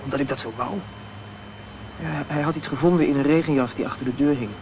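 Guy acting as young injured activist in an old radio play, evasive and halting, muffled sound w some noise. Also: fairly high pitch, fairly even intonation, lightly acted. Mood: subdued, explaining. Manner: hesitant, evasive. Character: injured, guarded, idealistic young political activist. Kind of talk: Dutch radio drama acting. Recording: dull, noisy old radio recording.

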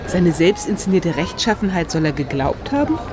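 An actress playing a brisk, practical, sardonic best friend with a blunt, dry manner in a German radio crime drama. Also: female voice, fairly low pitch, very animated, noisy background. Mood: dismissive, dry.

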